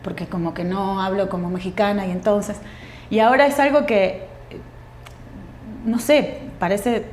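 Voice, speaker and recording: A woman in her forties with a Uruguayan Spanish accent, a guest actress speaking thoughtfully in an interview, pausing often with fillers and restarts. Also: mid-range voice, steady tone, reverberant, slight background noise. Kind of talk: reflective interview conversation.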